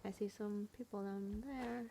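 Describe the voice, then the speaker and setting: A woman comments on her gameplay in an informal, slangy way, thinking aloud. Her voice has a middle pitch, with faint noise and game sound behind it. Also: steady tone, measured pace, mellow, poor audio. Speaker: gaming video creator. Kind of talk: casual gameplay reaction commentary.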